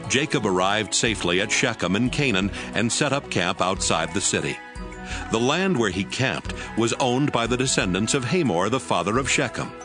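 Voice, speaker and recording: A man giving scripted narration of scripture as the narrator of an audio Bible. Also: fairly low pitch. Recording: music bed under the narration.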